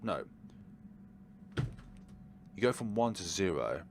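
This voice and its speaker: A man's voice: low-pitched, sing-song, very slow, slight background noise. A man hosting a let's play, reading game text aloud dramatically and adding casual asides. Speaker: gaming video host.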